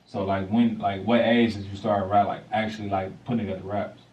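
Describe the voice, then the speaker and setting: A man's voice: lowish voice, little pitch movement, fairly quick, roomy sound, far from the mic, highs cut off. A man in his thirties hosting a casual chat, laughing often and talking in loose slang. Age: 30s. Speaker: podcast host.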